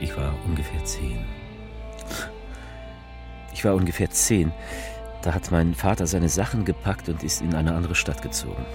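An actor playing an evasive, self-justifying politician in a theatrical speech, speaking emphatically with deliberate pauses. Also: male voice, low voice, over loud music. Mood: reminiscing. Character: male politician orating, evasive, self-justifying, folksy storyteller.

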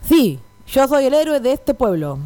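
A woman's voice: high-pitched, very animated, moderate pace, warm tone, dry recording. A woman playing a chivalrous, boastful masked swordsman in a comic radio melodrama. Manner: pompous, declamatory.

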